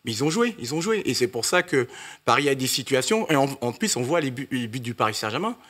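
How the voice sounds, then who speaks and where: A man on a fan panel giving measured, analytical football commentary. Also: medium pitch, very animated, a bit bright. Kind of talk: football analysis in casual chat.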